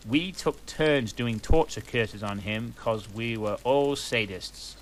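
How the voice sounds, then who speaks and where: A man's voice: mid-range voice, slow pace. A man reading a story aloud in a comic, mock-earnest manner, with a fireplace crackling behind him. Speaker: reader.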